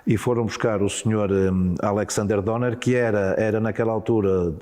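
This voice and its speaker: A man's voice: lowish voice, fairly even intonation, normal speed, a little mellow, big-room sound. A middle-aged man with a European Portuguese accent, a former handball player telling stories in an interview, stumbling and repeating words. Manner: hesitant, many repeated words and restarts. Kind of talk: relaxed interview storytelling.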